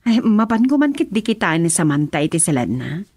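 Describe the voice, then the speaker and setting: Lively-pitched madam of the house. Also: female voice, brisk, breathy edge, no echo, clean studio sound, exaggerated voice. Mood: self-assured. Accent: Filipino. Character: lady of a wealthy house addressing her helper. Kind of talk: Filipino radio drama acting.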